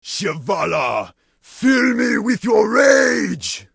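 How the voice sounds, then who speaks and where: Gravelly, shouting troll warlord. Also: male voice, high voice, very animated, very slow, no room sound, studio quality, heavily acted. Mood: raging. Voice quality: raspy, gravelly. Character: menacing male troll priests and warlords, bloodthirsty and bombastic.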